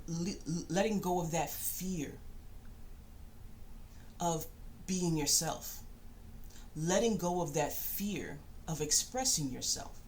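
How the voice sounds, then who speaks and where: Woman, middle-aged, lowish pitch, reflective monologue, thoughtful pauses and rephrasing, slight background noise. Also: darkish tone, poor audio. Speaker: spiritual channel host and self-published author.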